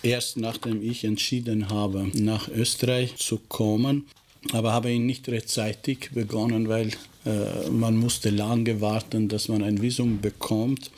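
Slow, flat-voiced man, native Albanian speaker. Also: lowish voice, slight background noise. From former Yugoslavia. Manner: halting, searches for words and restarts sentences. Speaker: teacher being interviewed. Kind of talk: interview answers.

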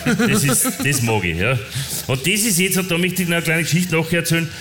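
A man's voice: on the high side, expressive, a little fast, fairly bright tone, faint music behind. A man with an Upper Austrian accent co-hosting a talk show, playfully reading out anecdotes. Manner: playful, reads anecdotes aloud with gusto. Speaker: co-host. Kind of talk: casual talk show banter.

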